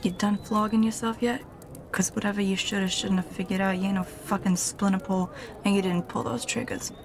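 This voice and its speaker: A woman's voice: mid-range voice, flat delivery, brisk, background noise, close to an everyday voice. A woman playing a foul-mouthed, tough, injured fighter with a crude, sardonic, folksy manner in an audio drama. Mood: brash.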